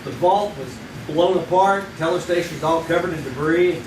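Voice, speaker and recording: An actor with a Kentucky accent playing a curious old friend who wryly prods for details in a live old-time radio drama. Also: male voice, on the high side, moderate pace, close to an everyday voice. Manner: wry, prodding questioner. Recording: echoey stage audio from far off, audible noise.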